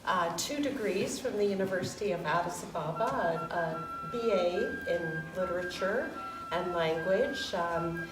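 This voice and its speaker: A woman giving a speech of introduction for a colleague, hesitant and pausing often. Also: fairly low pitch. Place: room at a public event. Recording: echoey room, distant mic, music.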